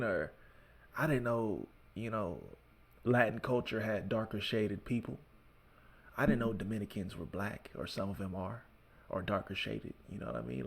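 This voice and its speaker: A man's voice: fairly low pitch, gravelly. A man hosting a reaction video, commenting in a laid-back way and often saying "bro" and "man".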